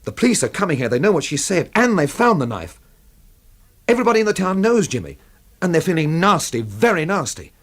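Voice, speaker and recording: A man's voice: fairly high pitch, big pitch swings, fairly quick. An actor playing a wry, hard-boiled male sleuth who narrates a radio mystery in a dry, clipped manner. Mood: urgent, pressing. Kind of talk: radio drama acting and noir narration.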